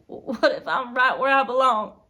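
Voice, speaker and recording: A woman's voice: sits high, animated, measured pace, bright, forceful tone, full character voice. A woman acting a desperate, tormented young farm wife in an intense dramatic film monologue. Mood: hushed, fragile. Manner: intense, swinging from whispers to anguished outbursts. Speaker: acting student.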